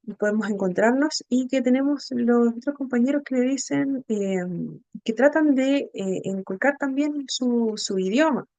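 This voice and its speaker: Higher-pitched Chilean woman teaching. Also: darkish tone, no room sound, lo-fi sound. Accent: Chilean Spanish. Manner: halting, searching for words, frequent tag questions. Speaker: instructor of an inclusive education class. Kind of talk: informal spoken lecture.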